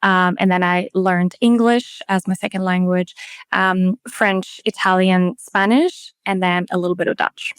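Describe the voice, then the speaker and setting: A woman with an Austrian accent, a native German speaker, chatting as a podcast guest with many fillers and lively quoted voices. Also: fairly low pitch, a little slow, clean tone, dry recording. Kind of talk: casual interview chat.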